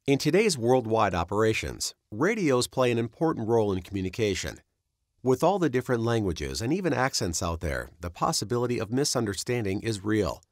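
A man with a fairly low voice narrates a training course from a script. His intonation is lively and varied while his delivery stays measured and instructive. The voice-over sounds dry and close to the mic. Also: dry recording.

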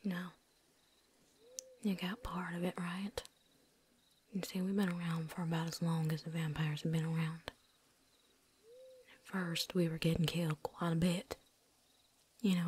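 A woman playing a seasoned, wary but friendly vampire hunter in a hushed, folksy ASMR roleplay whisper. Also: low-pitched, flat delivery, a little slow, gravelly, pauses often, slight background noise. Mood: confiding. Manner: hushed, folksy drawl, teasing.

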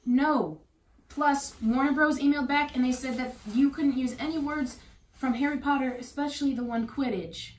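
A woman's voice: sits high, fairly even intonation, normal speed, recorded from a distance, natural voice. A young woman playing a fed-up PR manager, dry and sarcastic. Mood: irritated. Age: young adult. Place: family house, indoors. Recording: roomy, off-mic echo.

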